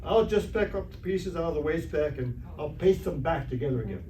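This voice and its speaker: A man playing a good-natured, slow-witted high school boy in an old-time radio comedy, folksy and earnest. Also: lively intonation, dark tone, natural voice. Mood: hesitant. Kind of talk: 1940s-style radio comedy acting on stage. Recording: distant, echoey stage recording with noise.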